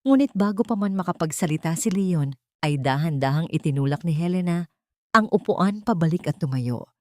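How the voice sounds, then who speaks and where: A woman narrating a scripted drama story in a measured, emphatic, theatrical way. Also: on the low side, expressive, dry recording, clean studio sound.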